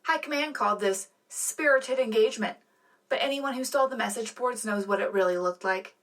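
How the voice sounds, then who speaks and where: A woman's voice: a little high, brisk, fairly bright tone, natural voice. A woman playing a polished, upbeat propaganda radio co-anchor, delivering scripted satirical news with tongue-in-cheek official euphemism. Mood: prim, upbeat. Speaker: radio co-host.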